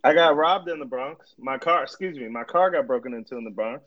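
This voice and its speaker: A man's voice: medium pitch, fairly quick. A man from Virginia Beach hosting a podcast in casual chat, laid-back and teasing. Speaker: podcast host. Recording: dull lo-fi sound.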